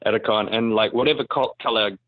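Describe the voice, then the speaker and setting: A man's voice: on the low side, fairly even intonation, fast talker, dry recording. A man from New Zealand with a New Zealand accent, a guest actor chatting casually in an interview, full of fillers and easy laughs. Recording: tinny phone-like line, very dry.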